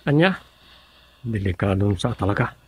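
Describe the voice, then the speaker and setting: A man's voice: fairly low pitch, lively intonation, moderate pace, a little hiss or hum, lightly acted. A man playing an inquisitive, cautious villager in a radio drama, plain-spoken and with an Ilocano accent. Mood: questioning, concerned.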